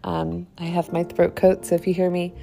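A woman hosting a devotional podcast and leading prayer, her voice slightly croaky from a cold, speaking in an earnest way with soft, trailing phrases. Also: on the low side, completely flat delivery, normal speed. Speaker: podcast host.

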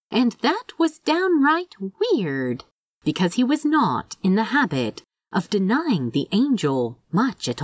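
A woman reading a story aloud in an expressive, wry and conversational way. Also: medium pitch, no echo, clean studio sound. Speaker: fan fiction narrator. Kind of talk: expressive scripted story narration.

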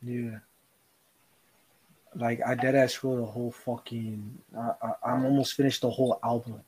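A man's voice: mid-range voice, little pitch movement, moderate pace, darkish tone, slight background noise, poor audio. A young man co-hosting a podcast, talking earnestly in casual chat, rambling with slang and swearing. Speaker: podcast co-host.

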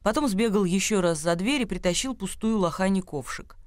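A man's voice: high-pitched, expressive, a little fast, no room sound, studio quality. A man reading scripted literary narration as the narrator of a radio drama.

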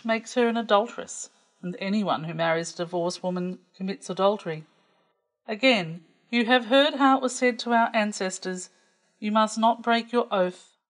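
A woman reading a gospel text aloud in a measured, clearly phrased way. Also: mid-range voice, poor audio. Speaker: scripture reader.

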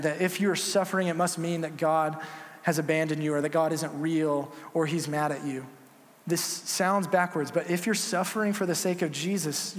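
A man preaching a sermon as pastor, earnest and conversational with some fillers. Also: on the high side. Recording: echoey hall with long reverb.

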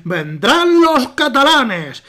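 A woman's voice: sits high, sing-song, drawn-out words, fairly bright tone, pitched above the performer's own voice, exaggerated voice. A woman voicing a solemn, grandiose prophet of an ancient people in a declamatory, mock-epic manner.

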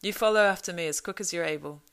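Woman putting on a loud, jolly old storybook man. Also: on the low side, fast, smooth, lightly acted. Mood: hearty, welcoming. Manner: exclamatory, rhyming, sing-song delivery. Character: jolly boisterous old man of the woods, singing nonsense rhymes. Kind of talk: storybook character voice.

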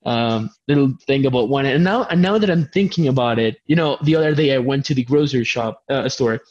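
A man's voice: mid-range voice, brisk, a little mellow. A man from Colombia with a Colombian Spanish accent, hosting a casual online chat over a video call and often stammering into restarts. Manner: chatty, stammering restarts, self-deprecating. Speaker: host. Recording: dull lo-fi video call audio.

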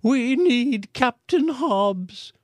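A man voicing an excitable aunt in a storybook, shouting with urgency to a crowd. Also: very high voice, very slow, no room sound, clean studio sound, voice pushed up, exaggerated voice. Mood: urgent. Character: excitable woman, eager aunt.

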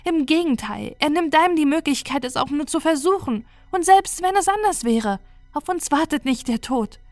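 Storybook companion, firm and persuasive, pitched up, voiced by a woman reader. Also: expressive, normal speed, darkish tone, dry recording, soft background music. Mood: earnest. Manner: raised pitch, insistent and lecturing.

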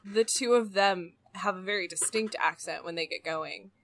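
A young woman from California, a podcast guest telling stories in a chatty, animated way. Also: medium pitch, moderate pace, slight background noise. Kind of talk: casual chat.

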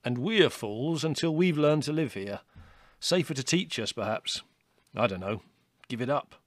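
A man's voice: medium pitch, expressive, normal speed, no room sound, studio quality, voice pushed up, close to an everyday voice. A man reading a storybook, voicing a bold, tough young male rabbit who is ready for a fight. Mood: gruff bold.

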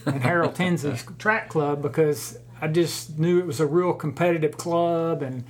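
A man's voice: on the high side, moderate pace. A middle-aged man, chatting and asking the guest questions as co-host. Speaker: co-host, club board member. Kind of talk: casual chat.

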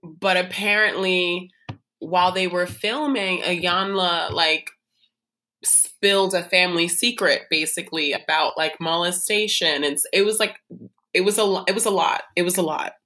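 Bright-voiced chatty woman podcaster. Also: fairly low pitch, steady tone, normal speed. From United States. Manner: chatty, gossipy storytelling with fillers. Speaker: podcast co-host. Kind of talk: casual chat.

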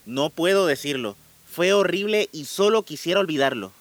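High-voiced, desperate man in a radio drama. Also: animated, moderate pace, dry recording, lightly acted. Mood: haunted, reluctant. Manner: pleading, agitated, repeating himself. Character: desperate, deluded man convinced he is a programmed killer. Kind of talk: Spanish-language radio drama acting.

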